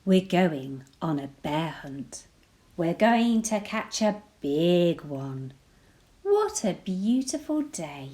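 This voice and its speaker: Woman teacher reading a picture book to kids: very slow, lowish voice, playful, whispers sound words. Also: sing-song, mellow. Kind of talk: expressive read-aloud storytelling for children.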